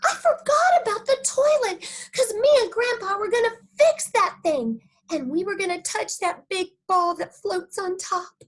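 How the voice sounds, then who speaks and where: A woman voicing a dramatic, whiny, excitable little girl in a children's audiobook, with childish grammar and indignant exaggeration. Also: extremely high pitch, unhurried, pitched above the performer's own voice, poor audio. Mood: frantic, excited. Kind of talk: first-person children's audiobook reading.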